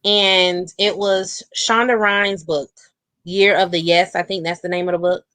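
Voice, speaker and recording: A woman speaking as a guest author in a candid, casual interview, with an expressive, colloquial and emphatic way of talking. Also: fairly low pitch, measured pace, no room sound.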